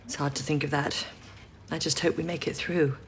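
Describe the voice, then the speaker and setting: A woman playing a sensible, educated wartime codebreaker in a period radio drama, speaking in a measured, reassuring way. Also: low voice, steady tone, close to an everyday voice. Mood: sober, reassuring. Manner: measured, explanatory, composed.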